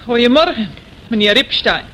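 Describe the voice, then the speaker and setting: A woman's voice: a little high, moderate pace, low-quality recording. An actress playing an agitated, gossipy, insistent neighbour woman in a radio play. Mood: placating. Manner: excitable, insistent. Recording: hissy radio drama recording.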